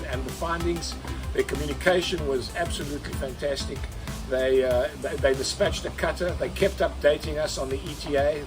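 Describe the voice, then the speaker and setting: A man's voice: a little high, noisy background. A man speaking as a skipper and vlog host, telling a first-person story in an earnest way and repeating words for emphasis. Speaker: sailing skipper and video host.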